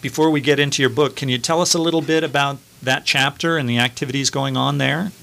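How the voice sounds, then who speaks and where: A man's voice: medium pitch, fairly even intonation, quick pace, vocal fry, a bit bright. A man hosting a live radio interview, asking questions in a playful, joking way. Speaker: radio host.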